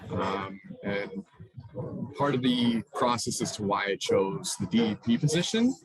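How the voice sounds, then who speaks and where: Low-voiced young man introducing himself. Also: animated, measured pace, a crowd in the background, poor audio. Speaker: student and organization staff member introducing himself. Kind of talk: casual self-introduction. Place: online meeting.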